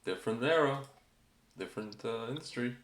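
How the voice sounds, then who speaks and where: A man's voice: medium pitch, lively intonation, fairly quick, fairly bright tone. A man co-hosting a podcast in casual chat, joking and often murmuring agreement. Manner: joking, lots of back-channel agreement. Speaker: podcast co-host. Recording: roomy echo.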